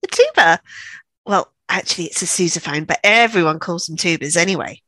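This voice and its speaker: A woman's voice: lowish voice, brisk, bright, forceful tone, no room sound. A woman doing scripted comic banter as co-producer of an audio show.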